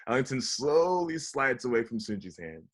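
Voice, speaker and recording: A man's voice: mid-range voice, very animated, moderate pace, slightly rough. A man narrating the stage directions at a table read of a comedy script.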